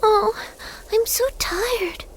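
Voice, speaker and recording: A woman voicing a gentle, downhearted young girl in a video game, speaking softly and politely. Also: very high voice, extremely slow, slight background noise, heavily acted, rough recording. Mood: weary, wistful. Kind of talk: video game cutscene voice acting. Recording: music behind the voice.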